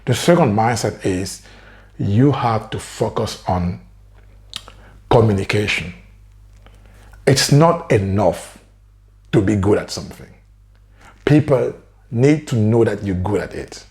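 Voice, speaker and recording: A man's voice: on the low side, expressive, slow pace, pauses often, a little mellow. A man with a French accent whose first language is French, talking earnestly to camera as a career coach and often adding "right?". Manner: earnest, keeps asking "right?". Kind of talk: direct-to-camera advice talk.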